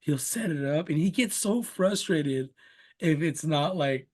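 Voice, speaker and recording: A man's voice: on the high side, lively intonation, measured pace, darkish tone. A young man, a native Spanish speaker and father, chatting casually about his young son in a rambling way full of "you know" and "like". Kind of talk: casual conversational interview.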